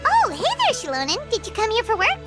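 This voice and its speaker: A woman voicing a tiny, childlike, cheeky fairy companion in a video game, squeaky and exclamatory. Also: huge pitch swings, brisk, music in the background, full character voice. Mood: chirpy. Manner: exclamatory, teasing, slangy.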